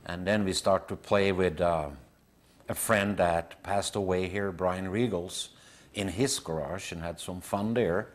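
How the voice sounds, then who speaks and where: A man in his fifties or sixties with a Norwegian accent, answering casually in an interview in halting, simple phrases. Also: low-pitched, fairly even intonation. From Norway. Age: 50s to 60s. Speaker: band member being interviewed. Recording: clear background ambient noise.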